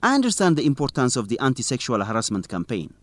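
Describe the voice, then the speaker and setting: An actor playing a principled, stubborn young student journalist in an educational radio drama, speaking expressively and clearly. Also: male voice, mid-range voice, wildly swooping pitch, fast, no echo. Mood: firm, defiant.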